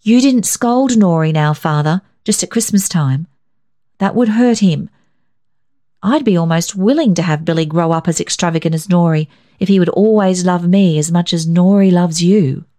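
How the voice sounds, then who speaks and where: A woman's voice: lowish voice, moderate pace, dark tone, close to an everyday voice. A woman voicing a frank, affectionate young mother in a storybook reading. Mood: earnest.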